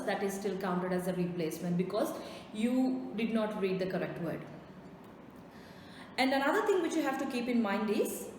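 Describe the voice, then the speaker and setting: A woman's voice: on the high side, a little mellow. A woman test-prep trainer giving an instructional lesson, didactic, repeating key points with emphasis and posing rhetorical questions. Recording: very echoey reverberant room, faint noise.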